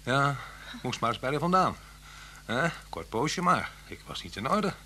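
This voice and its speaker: An actor playing an unhappy, soft-spoken husband on the run in a Dutch radio play, speaking haltingly and often dropping to a near-whisper. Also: male voice, medium pitch, sing-song. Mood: sorrowful, halting. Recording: hum and hiss of old radio recording.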